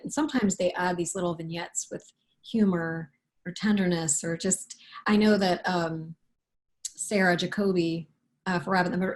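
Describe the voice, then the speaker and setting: Woman, lowish voice, conversational, halting, reflective, rephrases as she goes, author in interview. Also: darkish tone. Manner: halting, thoughtful, with repeated self-corrections. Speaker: picture book author being interviewed.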